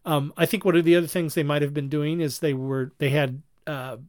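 A man hosting a casual podcast discussion, explaining things in a halting, rambling way and often correcting himself. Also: on the high side, fairly even intonation, a little mellow, no room sound, studio quality. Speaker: podcast host.

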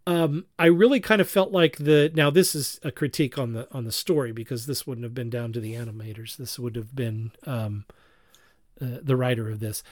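A man talking casually and critically as a podcast panelist, talkative, with halting, repeated phrases. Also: mid-range voice, steady tone, no room sound, studio quality. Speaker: podcast panelist reviewing fan productions.

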